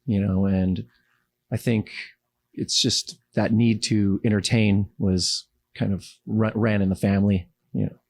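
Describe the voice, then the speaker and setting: A man's voice: lowish voice, level tone, a little slow, darkish tone, dry recording. A man from Los Angeles with an American accent, talking casually and reflectively as an interview guest. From Los Angeles, United States.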